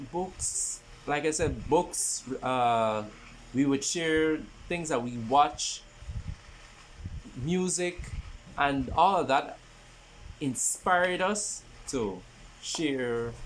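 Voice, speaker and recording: A man in his twenties introducing a podcast in a casual, halting way, often repeating his phrases. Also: mid-range voice, slight background noise, low-quality recording. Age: 20s. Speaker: podcast host.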